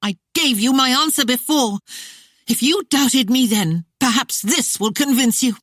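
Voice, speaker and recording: A woman's voice: fairly high pitch, a little slow, warm tone, breathy edge, no room sound, clean studio sound, voice pushed up. A woman voicing a proud young noblewoman held prisoner, speaking in a formal old-fashioned way, defiant and pleading. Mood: furious.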